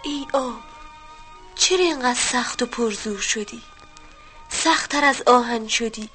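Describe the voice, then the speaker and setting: A woman plays a tiny, earnest sparrow in a children's storybook tale, calling out her questions in a high voice. Every line is eager. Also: a bit bright, soft background music, natural voice. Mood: pleading. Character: small bold pleading sparrow. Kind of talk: children's audio story acting.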